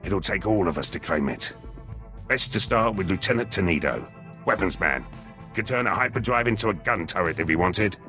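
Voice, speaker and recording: An actor playing a gruff, dry military veteran in a video game, speaking in terse, clipped phrases. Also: male voice, low-pitched, animated, moderate pace, pushed and bright, soft background music. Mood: wry, matter-of-fact. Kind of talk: video game dialogue acting.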